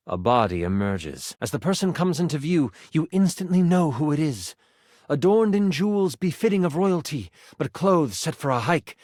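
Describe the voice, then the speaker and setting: Highly animated game master die. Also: male voice, no echo, clean studio sound. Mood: mysterious. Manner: dramatic, expressive, playful. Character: excitable male D20 die personified as dramatic game master. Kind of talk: theatrical tabletop fantasy storytelling.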